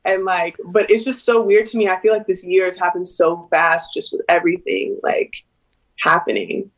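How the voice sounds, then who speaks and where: A woman's voice: lowish voice, steady tone, moderate pace, low-quality recording. A woman in her twenties, a singer and interview guest, talking casually on a video call, hesitant and giggly with many fillers. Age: 20s.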